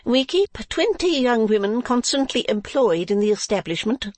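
A reader putting on a high-voiced, haughty genteel madame. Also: big pitch swings, normal speed, a little mellow, no room sound. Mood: businesslike. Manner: scolding, imperious, genteel. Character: haughty, brisk businesswoman wife, affectedly genteel. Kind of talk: classic novel audiobook character.

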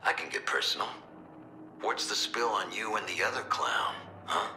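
An actor playing a gruff, hostile military officer in a video game, gravelly, menacing and scornful. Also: male voice, fairly low pitch, expressive, normal speed, pushed and bright, roomy sound, a little hiss or hum. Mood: irritated. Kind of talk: dramatic video game voice acting.

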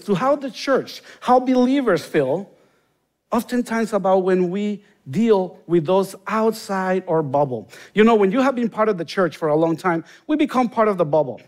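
A man in his forties with a Honduran Spanish accent preaches to a congregation from a church stage, speaking into a body mic. His voice sits somewhat high for a man, and he turns emphatic on his key points. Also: a little mellow. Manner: earnest, rising to emphatic bursts on key points. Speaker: guest pastor. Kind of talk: sermon with prayer. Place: large church stage, indoors.

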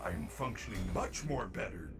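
An actor playing a polite, gentle animatronic bear in a video game, speaking in formal, careful, measured words. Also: male voice, sits low, animated, over loud music. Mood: courteous, uneasy. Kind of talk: video game character acting.

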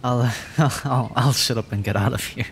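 A man's voice: mid-range voice, normal speed, vocal fry, a little hiss or hum, lightly acted. A man playing a nervous, adorkable young dragon, injured and frightened, stammering and apologetic in an ASMR fantasy roleplay. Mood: grateful, shy. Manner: stammering, hesitant, rambling, apologetic. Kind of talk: ASMR roleplay audio drama.

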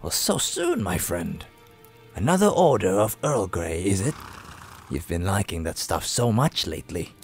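A man voicing a genial, eager travelling merchant, husky, breathy and ingratiating, in game character style. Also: low-pitched, big pitch swings, measured pace, soft background music, heavily acted. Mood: welcoming, obliging.

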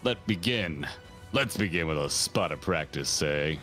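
A man reading as a deep-voiced dwarf observer. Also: expressive, a bit bright, faint music behind, heavily acted. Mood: hearty. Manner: deep, slow-read dwarf lilt ending in eh. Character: bluff male dwarf trial observer.

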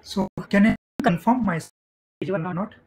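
A man hosting an online meetup, giving casual, halting instructions to attendees. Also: sits high, a little mellow. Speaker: meetup host. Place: online video meeting. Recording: noisy online meeting audio.

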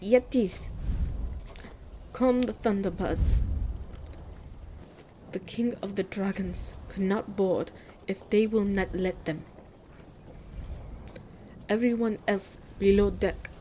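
A woman's voice: mid-range voice, slow pace, pauses often, dark tone, slight background noise, close to an everyday voice. A woman playing a regal, commanding empress of monsters in a fantasy audio roleplay, speaking in a stately, formal manner.

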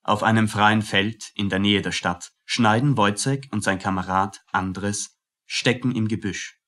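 A man's voice: lowish voice, steady tone, measured pace, fairly bright tone. A man reading scripted scene narration for a radio play.

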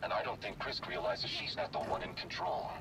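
A man's voice: low-pitched, big pitch swings, fairly quick, noisy background. An actor playing a sober, matter-of-fact male droid companion in a video game. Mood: grave.

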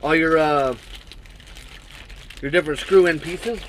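Chatty trucker rambling through an unboxing. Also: male voice, on the high side, a little slow, a little hiss or hum. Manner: rambling, chatty, thinks aloud with many fillers. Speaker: trucker reviewing gear. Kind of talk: casual unboxing review. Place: small truck sleeper cab, indoors. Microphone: action camera on the cab wall, built-in mic.

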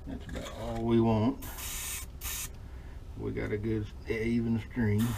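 A middle-aged man giving a relaxed, folksy running commentary as he demonstrates a hands-on craft at his workshop bench. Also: on the low side, slow, creaky. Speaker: lure painter demonstrating. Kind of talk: casual how-to demonstration. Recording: noisy workshop with airbrush spray.

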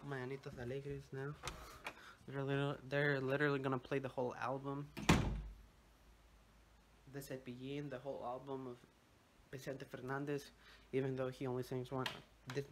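A man's voice: medium pitch, level tone, moderate pace, pauses often, heavy background noise. A young man with a Mexican Spanish accent, whose first language is Spanish, rambles casually in a vlog. He swears offhandedly and drops in Spanish words while holding his phone at arm's length at home. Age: young adult. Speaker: vlogger. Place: home bathroom and bedroom. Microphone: phone held at arm's length.

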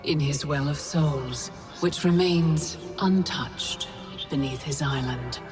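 An actress playing an imperious, scheming goddess of time in a video game, speaking in a grand, measured, commanding way. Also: female voice, low voice. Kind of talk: cinematic video game acting. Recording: loud music behind.

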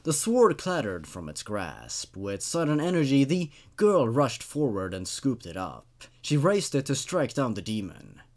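A young man in his twenties narrates a horror story with theatrical suspense. His mid-pitched voice swings widely, and he leaves deliberate pauses for effect. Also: very animated, darkish tone. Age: 20s. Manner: dramatic, deliberate pauses, drops low for suspense. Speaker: host reading horror stories aloud. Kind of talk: dramatic horror story narration with brief casual comments.

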